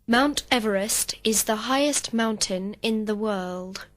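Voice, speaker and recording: Female student reading scripted answers, mid pitch, very slow pace. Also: fairly bright tone. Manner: slow, complete textbook sentences. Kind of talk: scripted full-sentence answers.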